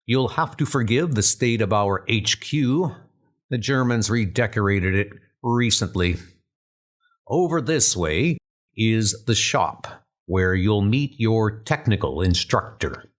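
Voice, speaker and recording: Man as a deliberate, formal British spymaster. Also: lowish voice. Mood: welcoming, formal. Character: formal, secretive British secret service chief. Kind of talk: comic dub spy drama acting.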